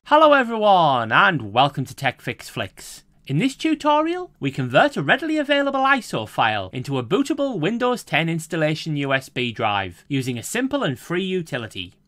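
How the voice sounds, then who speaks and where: A man's voice: fairly high pitch, wildly swooping pitch, a bit bright, no echo. Formal man narrating a tutorial. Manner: measured, formal, step-by-step. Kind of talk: scripted screen-recording voice-over.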